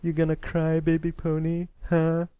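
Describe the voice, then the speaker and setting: A man's voice: a little high, fairly even intonation, normal speed, clear, resonant tone, dry recording, dull audio, pitched above the performer's own voice, lightly acted. A man voicing a cruel, mocking young colt bully in a storybook reading. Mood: jeering, cruel.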